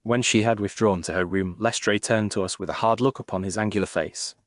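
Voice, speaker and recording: A British man narrating a Victorian detective story in a formal, measured, literary manner. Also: fairly low pitch, fairly even intonation, no echo, clean studio sound.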